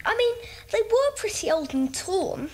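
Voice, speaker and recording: Blunt British schoolboy, high voice, slowish delivery, children's audio drama. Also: female voice, very animated, darkish tone, exaggerated voice. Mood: grumbling.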